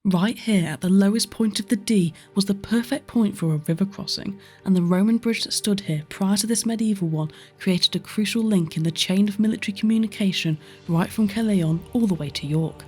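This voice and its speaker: A woman's voice: mid-range voice, fairly quick, few pauses, a little mellow, soft background music. A woman presenting a history tour in scripted narration.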